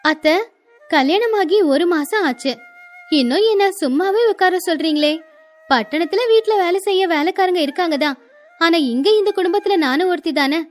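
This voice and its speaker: A woman's voice: extremely high pitch, moderate pace, faint music behind. A woman voicing an earnest, dutiful young village daughter-in-law who speaks respectfully in a moral-story cartoon. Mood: earnest pleading.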